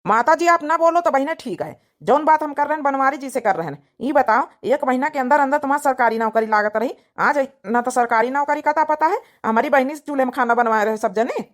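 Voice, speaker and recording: महिला द्वारा निभाया ज़िद्दी, गरममिज़ाज अवधी देहाती भाई. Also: female voice, sits high, a little fast, hardly any pauses, natural voice. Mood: angry, scornful. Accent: Awadhi. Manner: insistent, argumentative, colloquial. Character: hot-headed, protective young village brother confronting his sister's in-laws. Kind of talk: cartoon family comedy drama voice acting.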